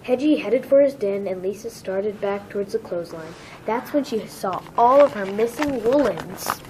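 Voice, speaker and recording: A woman's voice: mid-range voice, lively intonation, moderate pace, a little mellow, a little hiss or hum, low-quality recording. A woman narrating a children's storybook, reading it aloud.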